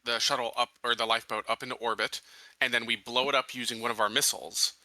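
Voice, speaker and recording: A man with a very bright, forceful voice talks casually as a tabletop game player. His pitch is average and the sound is close and dry. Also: steady tone, fast, no room sound, rough recording. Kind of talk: casual tabletop planning chat.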